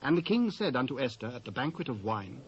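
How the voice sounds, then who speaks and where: A man with a British accent reading scripture as a measured, formal narrator. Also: medium pitch, very animated, breathy edge. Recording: dry, dull old cassette transfer.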